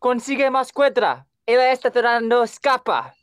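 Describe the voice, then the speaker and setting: A woman's voice: on the high side, animated, normal speed, no room sound, studio quality, lightly acted. A woman playing a menacing hired goon in a play reading over a video call. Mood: commanding.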